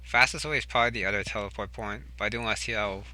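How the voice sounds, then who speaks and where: Low-voiced man musing over gameplay. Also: racing, slight background noise, rough recording. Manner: relaxed, musing aloud. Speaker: gaming channel host. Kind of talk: casual gameplay commentary. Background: game music.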